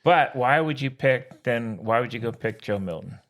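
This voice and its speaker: A man's voice: medium pitch, expressive, moderate pace, a bit bright. A man co-hosting a sports podcast in casual banter, with an informal, exclamatory, slangy way of talking.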